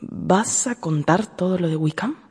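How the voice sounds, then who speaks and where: An actress playing a gentle, sympathetic sister with a breathy, hushed voice in a radio drama, in long reverb. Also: female voice, lowish voice, a little slow. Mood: regretful.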